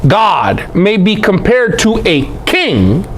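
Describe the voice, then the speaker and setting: A man's voice: sits high, wildly swooping pitch, takes it slowly, a little hiss or hum. A man giving an informal, irreverent scripture lecture in an emphatic way, often putting rhetorical questions to his listeners. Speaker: Bible teacher, presenter.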